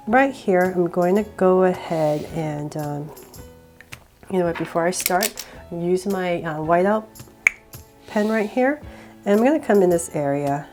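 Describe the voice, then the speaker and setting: A woman with a cold guiding viewers step by step through a drawing, relaxed and laughing a little at her own slips. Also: fairly low pitch. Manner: relaxed, with small laughs and self-corrections. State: sick, voice a bit off. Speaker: drawing tutorial host.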